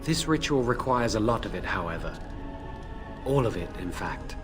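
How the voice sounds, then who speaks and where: A man's voice: lowish voice, lively intonation, normal speed, over music, natural voice. A man voicing a remorseful young mage in a video game, apologetic and earnest as he explains a risky ritual.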